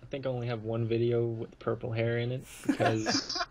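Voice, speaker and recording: A man's voice: medium pitch, little pitch movement, brisk, very noisy. A man chatting casually as a guest on a talk podcast, telling rambling stories in loose, colloquial grammar. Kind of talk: casual chat.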